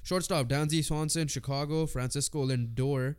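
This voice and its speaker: A man's voice: fairly high pitch, fairly even intonation, normal speed, a little mellow, clear, resonant tone. A man co-hosting a sports podcast with a congested voice, chatting informally and swearing casually. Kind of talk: casual sports chat, reading lists and predictions.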